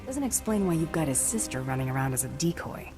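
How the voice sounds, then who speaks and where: Tough low-voiced female bounty hunter. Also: lively intonation, quick pace, music in the background. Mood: cool menace. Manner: curt, threatening. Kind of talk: video game voice acting.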